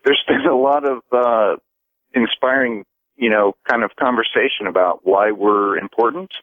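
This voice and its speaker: A man calling a radio show by phone, speaking earnestly in a halting, thoughtful way. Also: mid-range voice, no echo. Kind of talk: earnest call-in conversation. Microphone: telephone. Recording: narrow phone-line sound.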